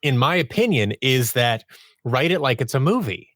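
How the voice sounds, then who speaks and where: A man's voice: mid-range voice, big pitch swings, normal speed, fairly bright tone, no room sound, clean studio sound. Enthusiastic man in casual podcast talk. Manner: enthusiastic, explanatory, acts out imagined quotes. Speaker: audio drama writer and producer, interview guest.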